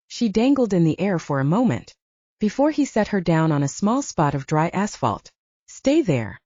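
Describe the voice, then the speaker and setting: A woman's voice: on the low side, dark tone, no room sound. A woman narrating fiction from a script as an audiobook reader. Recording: dull, lo-fi, dry studio-like sound.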